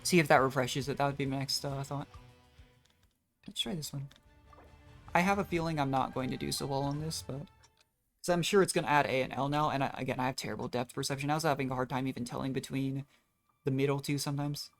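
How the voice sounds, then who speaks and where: A casual streamer with a mid-pitched voice thinks aloud while playing. The talk is rambling and self-deprecating, with video game music behind it. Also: fairly even intonation, a little fast. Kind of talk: casual rambling gameplay commentary.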